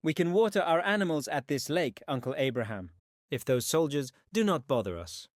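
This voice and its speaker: Man as a lively, earnest young kinsman. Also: a little high, a little fast, no echo, studio quality, lightly acted. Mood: uneasy, urgent. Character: cautious, respectful nephew, a younger kinsman. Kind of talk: dramatised audio Bible story.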